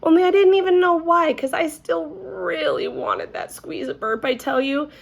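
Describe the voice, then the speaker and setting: A woman voicing an excitable, earnest little girl telling her own story, with childlike, emphatic phrasing as in an animated storybook reading. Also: very high-pitched, moderate pace, gravelly, voice pushed up. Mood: hopeful.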